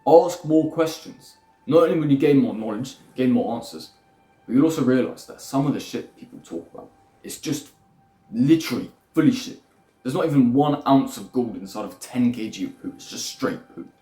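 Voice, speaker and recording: A man's voice: mid-range voice, lively intonation, normal speed, warm tone. A young man lecturing bluntly in a rambling self-improvement monologue, swearing freely. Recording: echoey room with faint music.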